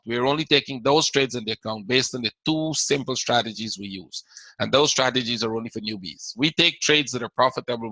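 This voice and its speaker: A man's voice: medium pitch, sharp tone, no echo, poor audio. A man with a Caribbean accent hosting a live trading webinar, answering viewer questions in a casual explaining manner with frequent repeated words.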